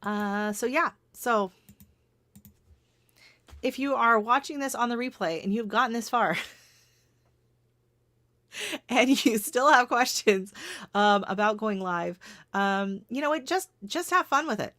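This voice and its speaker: A middle-aged Canadian woman hosting a casual livestream chat, laughing often and greeting viewers by name, her voice starting to go hoarse. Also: on the high side, lively intonation, slow, long pauses, a bit bright, no room sound. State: voice going hoarse. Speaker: gardening channel host.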